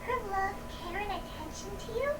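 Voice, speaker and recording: Tiny game fairy, very high squeaky childlike voice, earnest, kind, distant, noisy recording. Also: female voice, moderate pace. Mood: gently reassuring. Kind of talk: video game voice acting. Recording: echoey, distant game stream, noisy background.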